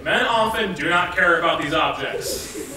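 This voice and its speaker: An earnest romance scientist, acted by a young man, announces comic findings with mock authority. His voice is high and animated, projected from a stage far off in a very echoey hall. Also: moderate pace, crowd noise, close to an everyday voice. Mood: mock-serious. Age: young adult. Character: earnest male lab-coated romance scientist, mock-serious lecturer. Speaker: stage actor co-presenting rules of rom-coms. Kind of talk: scripted comic stage lecture. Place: large indoor theater stage. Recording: echoey theater from far off, loud audience.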